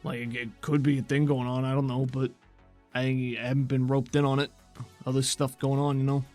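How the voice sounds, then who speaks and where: A man's voice: medium pitch, flat delivery, normal speed, a little mellow, faint music behind, lightly acted. A man voicing a nonchalant regulator with an offhand, colloquial way of talking. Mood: dismissive. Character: nonchalant regulator shrugging off missing persons cases.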